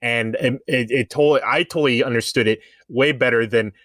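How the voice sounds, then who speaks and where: A man's voice: medium pitch, a little fast, fairly bright tone, no room sound, studio quality. A man casually discussing pop culture as a podcast panelist, reasoning things through at length in an explanatory way.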